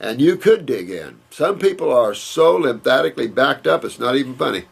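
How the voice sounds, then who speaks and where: A man's voice: medium pitch, animated, normal speed, vocal fry, breathy edge. An older man, a naturopath, talks casually and rambles as he reads out viewer letters and answers them with emphatic advice. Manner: rambling, emphatic, repeats words and restarts.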